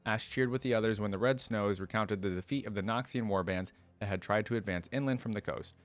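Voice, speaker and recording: A man's voice: lowish voice, fairly quick. A man hosting a reaction video, reading story lore aloud and breaking in with casual, conversational commentary.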